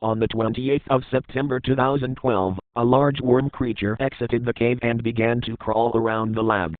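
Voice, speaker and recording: A man narrates a scripted horror story as a documentary report, at an average pitch. The sound is thin and narrow, like a phone line, with very little room in it. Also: level tone, moderate pace, no echo.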